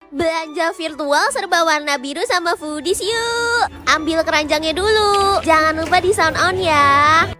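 A young woman narrating her shopping trips as a vlogger, chattering cheerfully about each item she drops in the basket. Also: extremely high pitch. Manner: chirpy, quick product commentary. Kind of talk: upbeat shopping voice-over. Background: background music.

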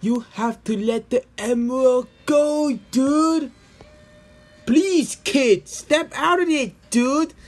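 A man voicing a desperate, protective father in an amateur comic dub, pleading and shouting. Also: extremely high pitch, slow pace, voice pushed up, heavily acted.

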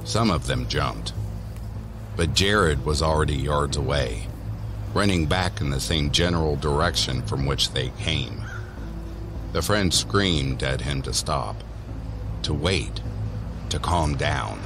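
A man giving measured, deliberate narration for a scripted horror audio drama. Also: extremely low pitch, animated. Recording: voice over background music.

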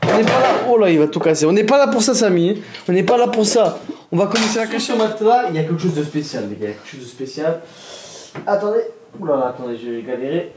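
A young man vlogging casually at home, excitable and chatty, talking straight to his viewers and laughing often. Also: sits high, fast, very noisy. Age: young adult. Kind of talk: casual vlog to camera. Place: indoor bedroom at home. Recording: roomy echo, voice from off-mic.

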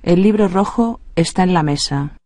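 A voice reading short phrases as prompts in an even, neutral drill delivery. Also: mid-range voice, normal speed. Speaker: Spanish phrase reader. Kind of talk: read-aloud language drill.

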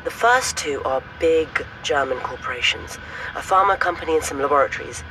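An actress playing a brisk, cautious woman researcher in an audio drama phone conversation. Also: low-pitched, expressive, noisy background. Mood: brisk, concerned.

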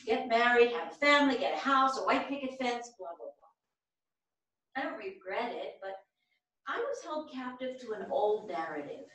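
A woman's voice: a little high, lively intonation, normal speed, fairly bright tone. Echoey, distant, blunt older woman, acted by a woman. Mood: regretful, candid. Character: blunt, regretful elderly mother of six named Pat. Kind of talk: staged play reading. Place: small theatre stage, seated at music stand. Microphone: far camera. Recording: very echoey theatre stage from far off.

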